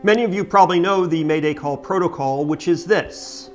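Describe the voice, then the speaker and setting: Middle-aged American male vlogger, slightly high voice, emphatic explainer, music behind. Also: brisk. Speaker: boating video host. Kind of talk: explanatory talk to camera. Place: home kitchen, indoors.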